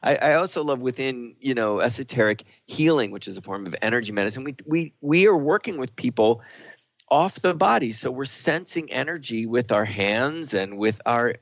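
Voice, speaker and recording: A man's voice: medium pitch, expressive, normal speed, dry recording. A man co-hosting a radio show, talking in an earnest, explanatory and reflective way. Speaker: radio co-host. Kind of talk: reflective talk-show discussion. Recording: dull, dry radio audio.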